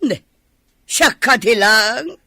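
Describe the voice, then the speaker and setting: Woman as bright-voiced fretful older mother. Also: fairly high pitch, lively intonation, slow, dry recording, studio quality, exaggerated voice. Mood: doubtful, worried. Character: doubtful older mother fretting over her son. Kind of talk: Ilocano radio drama acting.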